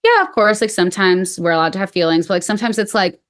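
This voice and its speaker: A woman's voice: mid-range voice, sing-song, quick pace. Chatty Midwestern woman guest. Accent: Midwestern American. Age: 30s. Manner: chatty, filler-heavy, frank. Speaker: podcast guest. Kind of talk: casual chat.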